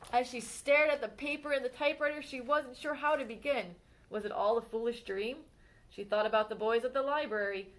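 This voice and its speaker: Brief: female librarian, fairly high voice, animated children's story reader. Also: low-quality recording. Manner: expressive storytime reading, slowing on exciting moments. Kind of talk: children's read-aloud of a picture book biography.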